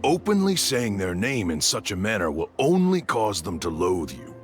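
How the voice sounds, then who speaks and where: A man's voice: lowish voice, sing-song, normal speed, faint music behind. An actor playing a senior guide in a video game, grave and reverent with a shifty salesman's angle. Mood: solemn, evasive.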